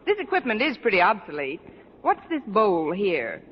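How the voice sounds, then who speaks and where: An actress playing a witty high-school teacher with dry, sardonic delivery in a vintage radio sitcom. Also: female voice, wildly swooping pitch, moderate pace. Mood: playful, wry. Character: witty, wisecracking adult female high-school English teacher. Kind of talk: 1940s-50s radio sitcom acting. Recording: noisy muffled vintage radio, studio audience laughter.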